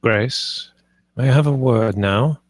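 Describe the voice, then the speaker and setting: A man's voice: mid-range voice, slow pace, no room sound. A man playing a composed, protective lord of the house in a dramatic script read, with understated authority.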